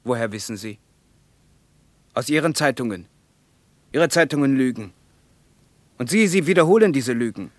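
A man's voice: mid-range voice, expressive, moderate pace, long pauses, dry recording, natural voice. An actor playing a zealous, scornful Soviet Russian man in a radio play, lecturing emphatically. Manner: emphatic, lecturing, evasive under questioning. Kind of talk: mid-20th-century German radio play dialogue.

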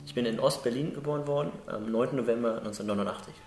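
A man's voice: mid-range voice, very fast, a little mellow, reverberant. A man in his thirties giving matter-of-fact interview answers. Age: 30s.

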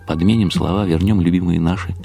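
A man narrating a first-person radio drama in an expressive, confiding, slightly wry way. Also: sits low, fast talker, vocal fry, faint music behind. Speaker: narrator and lead actor.

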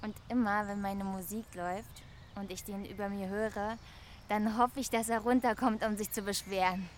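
An actress as a lonely young woman in a German radio play. She muses in a medium-pitched voice, confiding and hesitant, full of shy longing. Faint outdoor ambience sits behind her. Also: fairly even intonation, moderate pace, slight background noise, lightly acted. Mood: wistful musing. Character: lonely, wistful young woman quietly in love with her neighbour.

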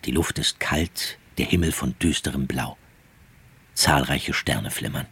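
A man's voice: very deep voice, unhurried, fairly bright tone, husky. A man delivering scripted, dramatic literary narration in a radio drama.

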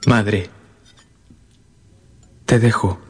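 A man's voice: fairly low pitch, steady tone, drawn-out words, slightly rough, exaggerated voice. An actor playing a sickly, selfish young man in a radio drama, with a plaintive, languid manner. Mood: insistent.

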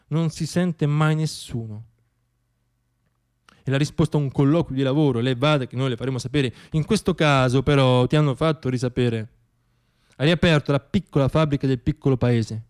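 A man performing a theatrical radio monologue as a stage storyteller, reciting rhythmically and declaiming with dramatic pauses. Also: a little high. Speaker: solo stage actor and storyteller.

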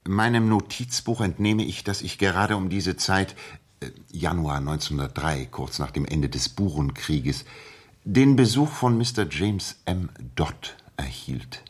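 An actor in a 1960s German radio play as a precise, coolly superior detective, measured, pedantic and dry. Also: male voice, sits low, slightly rough. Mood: precise, self-assured. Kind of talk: 1960s German radio play acting and first-person narration.

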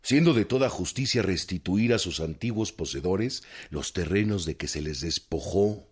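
An actor playing an earnest, plain-spoken rural revolutionary man with a Mexican accent and a husky voice in a radio drama. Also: low-pitched, animated, moderate pace. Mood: earnest, persuading.

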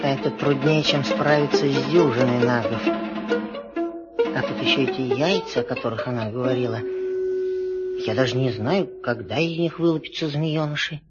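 A woman's voice: a bass voice, moderate pace, over loud music. A woman playing a brave, boastful and impatient young male mongoose in an audio fairy tale. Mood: weary, brooding. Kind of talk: Soviet audio fairy-tale acting on record. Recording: dull old vinyl record sound.

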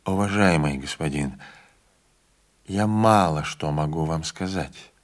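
A man voicing an older train conductor who speaks quietly, slowly and firmly with calm dignity. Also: a bass voice, pitched below the performer's own voice. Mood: calm grave.

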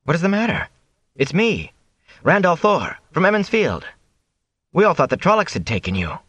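A man's voice: fairly high pitch, wildly swooping pitch, moderate pace, a bit bright, slightly rough, dry recording, voice pushed up, heavily acted. A man voicing an earnest, friendly young village man in an audiobook, concerned and eager. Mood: hesitant, concerned. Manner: earnest, hesitant, reassuring. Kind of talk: audiobook character voice.

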